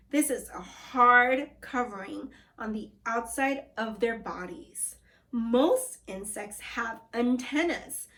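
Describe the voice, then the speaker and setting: Woman, teacher, slow deliberate read-aloud, fairly high voice, calls kids scholars. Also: fairly bright tone. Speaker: second grade teacher. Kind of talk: read-aloud lesson for children.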